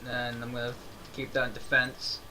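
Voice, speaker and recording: A man's voice: completely flat delivery, moderate pace, background noise. A man hosting a gaming channel, chatting casually to viewers and thinking aloud as he plays a card game. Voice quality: self-described high-pitched voice. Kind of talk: casual let's-play commentary.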